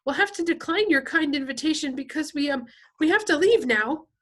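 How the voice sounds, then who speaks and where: A woman's voice: high-pitched, animated, a little fast, pitched above the performer's own voice. A woman voicing a frail, precocious boy in a story, nervous and formally polite. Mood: frightened. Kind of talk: audiobook character dialogue.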